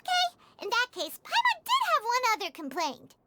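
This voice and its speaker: A woman voicing a small, blunt, chirpy fairy companion girl with a squeaky childlike voice in a video game. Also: huge pitch swings, moderate pace, background noise, exaggerated voice. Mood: blunt, critical. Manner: refers to self in third person, frank. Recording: music behind the voice.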